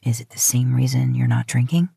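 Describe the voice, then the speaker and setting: A woman voicing an earnest, protective young man in an audiobook story, firm but gentle and caring. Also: extremely low pitch, brisk, creaky, slightly rough, no room sound, studio quality, voice pushed down, heavily acted. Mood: concerned.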